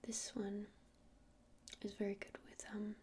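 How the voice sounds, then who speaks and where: A woman playing a gentle witch shopkeeper in an ASMR roleplay, speaking in a breathy whisper and explaining things in an unhurried, hesitant, soothing way. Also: mid-range voice, completely flat delivery, a little hiss or hum, natural voice. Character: gentle witch shopkeeper showing crystals to a customer.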